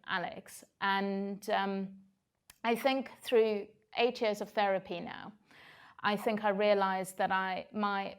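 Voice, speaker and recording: A woman's voice: mid-range voice, vocal fry. A woman in her forties from South Africa with a South African accent, giving a candid personal talk to an audience, reflective and full of "um" fillers. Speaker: speaker sharing her story on stage.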